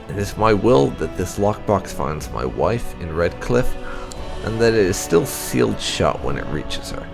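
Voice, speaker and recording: A man with a very low voice reads written game lore aloud like a storyteller. His delivery is measured but rises and falls expressively, with a gravelly creak throughout. Music plays faintly behind him. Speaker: gaming video host. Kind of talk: reading game lore notes aloud, narration.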